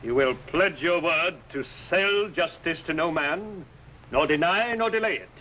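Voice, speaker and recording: A bold medieval archbishop in an old television costume drama. His delivery is firm, formal and declamatory, in a sharp, forceful, raised voice. The vintage soundtrack is faintly noisy. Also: fairly high pitch, lively intonation, unhurried. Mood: firm, solemn. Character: bold, righteous medieval archbishop defying a tyrant.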